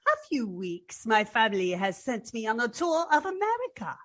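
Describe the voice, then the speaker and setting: A woman's voice: a little high, huge pitch swings, normal speed, fairly bright tone, no echo, clean studio sound, full character voice. Woman acting lilting, flirtatious foreign showgirl. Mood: flirtatious, curious. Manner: coquettish, formal phrasing. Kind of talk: recreated 1940s radio drama acting.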